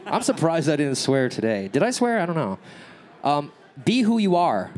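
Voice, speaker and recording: A man's voice: fairly high pitch, normal speed. Nasal man coaching a live crowd. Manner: energetic, coaching, checks in with "okay?" and "right?". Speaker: workshop speaker. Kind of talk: live workshop talk answering questions. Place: event stage.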